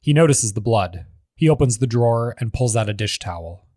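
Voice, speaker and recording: A man's voice: medium pitch, lively intonation, fairly quick, a little mellow, no echo, clean studio sound. A man giving scripted narration, reading a screenplay's stage directions as the narrator of a table read.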